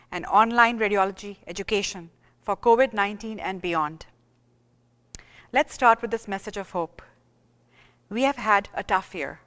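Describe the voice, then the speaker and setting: A woman delivering a formal oration with earnest, measured phrasing. Her voice is smooth and resonant, mid-pitched. A long hall reverb trails behind her words. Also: fairly bright tone. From India. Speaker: radiologist giving an oration. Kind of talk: formal lecture.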